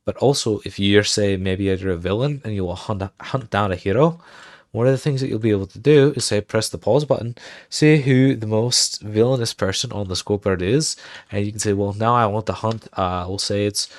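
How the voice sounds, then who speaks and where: Streamer, male, low dry voice, put-on Irish accent, chatty tutorial read while typing. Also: brisk, no echo. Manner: thinks aloud, muttering commands while typing. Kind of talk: casual game livestream tutorial.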